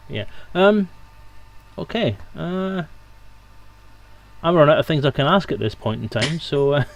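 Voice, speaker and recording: A man's voice: a little high, lively intonation, a little slow, creaky, low-quality recording. A man hosting a casual stream chat with dry, self-mocking humour.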